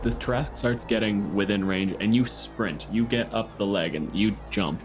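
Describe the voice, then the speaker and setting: Male game master, lower register, narrates and referees tabletop play over clear background music. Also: normal speed. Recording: music playing under the voice.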